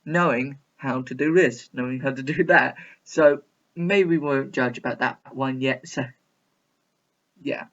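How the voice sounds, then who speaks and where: A man's voice: mid-range voice, a little slow, fairly bright tone, highs cut off. A man giving casual, rambling video commentary in a chatty, repetitive, mock-baffled way. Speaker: reaction video host.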